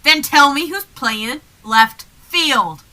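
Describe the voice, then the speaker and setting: Exasperated comic stooge, played by a young woman, insistent questioner, very lively swooping delivery, piercing bright tone. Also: high voice, slow, exaggerated voice. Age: young adult. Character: exasperated, confused man in a comedy double act. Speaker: student radio play performer. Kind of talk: comic double-act stage patter.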